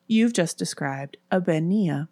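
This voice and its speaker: A woman's voice: lowish voice, expressive, unhurried, warm tone, dry recording, clean studio sound. A woman voicing a confident, knowing schoolgirl who shares ghost lore in a story, with an assured, ominous manner.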